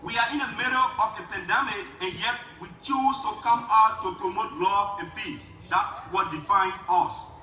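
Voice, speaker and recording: A man's voice: sits high, moderate pace, hardly any pauses, reverberant, recorded from a distance, over music, poor audio. A man with a Liberian accent giving an impassioned speech at an outdoor rally, building his points through repetition. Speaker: rally speaker.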